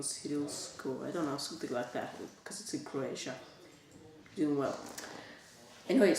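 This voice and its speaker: Host in an echoey room. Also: mid-range voice. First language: Bulgarian. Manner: halting, non-native phrasing with many restarts. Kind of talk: casual talk-show monologue to camera. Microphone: camera set back from the speaker. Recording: echoey room, distant mic, faint hiss.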